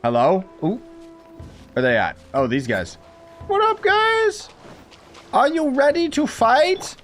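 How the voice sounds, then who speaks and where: A man's voice: very high voice, huge pitch swings, takes it slowly, fairly bright tone, faint music behind. A young man giving offhand, slangy live gameplay commentary while chatting to his viewers. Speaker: game streamer.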